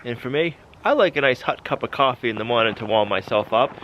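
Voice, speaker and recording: A man's voice: mid-range voice, expressive, fast talker, highs cut off, slight background noise. A man narrating a casual homestead vlog, chatty and explaining each step as he goes. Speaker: homestead vlogger. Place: outdoors on a farm in winter.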